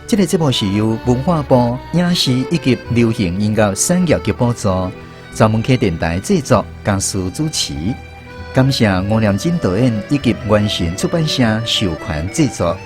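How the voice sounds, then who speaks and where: A man's voice: fairly low pitch, big pitch swings, moderate pace. A man with a Taiwanese accent presenting a radio programme as host, reading in a scripted style. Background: music.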